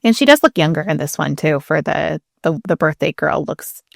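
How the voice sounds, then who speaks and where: A woman's voice: low voice, lively intonation, normal speed, gravelly, no echo. Woman co-host, dry studio-close sound. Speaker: podcast co-host. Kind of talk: casual chatty explanation.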